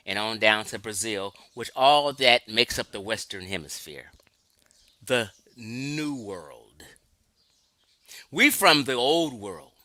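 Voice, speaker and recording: Man, emphatic livestream lecturer, very bright forceful voice, dry close sound. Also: medium pitch, no echo. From Los Angeles, California. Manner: emphatic, lecturing, laughs often. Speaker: livestream host. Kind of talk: casual livestream monologue answering chat.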